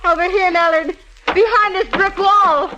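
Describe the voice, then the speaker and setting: A woman's voice: extremely high pitch, fairly even intonation, unhurried, lo-fi sound, noisy background. An actress playing a plucky, wry female detective bantering in an old radio drama. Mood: urgent, bantering. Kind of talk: 1940s radio drama acting. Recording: vintage radio recording.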